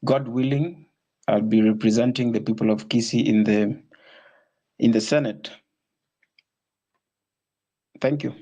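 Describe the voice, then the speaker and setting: A man in his twenties from Kenya, a guest on a political talk show, speaking earnestly and a little haltingly. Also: mid-range voice, steady tone, warm tone, rough recording. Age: 20s. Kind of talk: casual interview talk.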